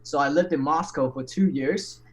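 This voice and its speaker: A man, an English speaker, telling his story as a podcast guest in an animated, candid way with frequent restarts and casual swearing. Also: fairly high pitch, moderate pace. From Taiwan.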